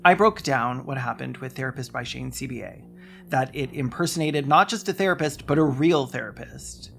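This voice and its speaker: A narrator reading a scripted video essay in a measured way, including emails read aloud. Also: mid-range voice, vocal fry, fairly bright tone, slight background noise.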